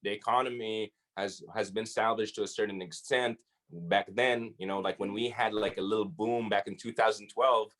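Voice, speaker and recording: A man's voice: lowish voice, steady tone, normal speed, fairly bright tone. A man, a hip hop artist raised in the Philippines, Saudi Arabia and Syria, talking casually as a guest with slang and some Arabic phrases. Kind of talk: casual interview chat.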